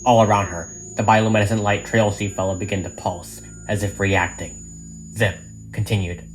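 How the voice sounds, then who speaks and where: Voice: deep voice, flat delivery, normal speed, low-quality recording. A narrator reading an audio drama script aloud, stage directions included, in a dramatic way. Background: music.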